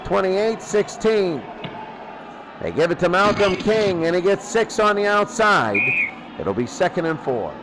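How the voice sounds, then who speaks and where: High-pitched man calling football over heavy noise. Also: animated, measured pace. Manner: repeats phrases, clipped present-tense calls. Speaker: play-by-play football announcer. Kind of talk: live radio sports commentary.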